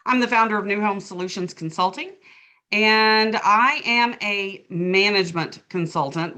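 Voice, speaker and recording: A woman's voice: fairly low pitch, bright, forceful tone. A woman co-hosting a panel webinar over a video call, chatty and tripping over names now and then. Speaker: webinar co-host, management consultant. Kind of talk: casual panel chat.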